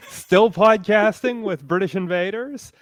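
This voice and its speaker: Clear, higher-voiced man on a panel. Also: slow pace, no room sound. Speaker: panelist and podcaster. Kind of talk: casual panel discussion.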